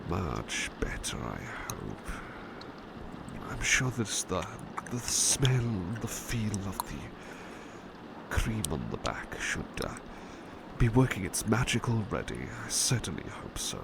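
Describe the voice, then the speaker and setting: A devoted, fussing male butler, acted by a man, speaks in a low, slow voice that swoops expressively. He is polite and hesitant, gently repeating his reassurances in a soothing ASMR roleplay, with clear background noise behind him. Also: sing-song, full character voice. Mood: soothing, tender.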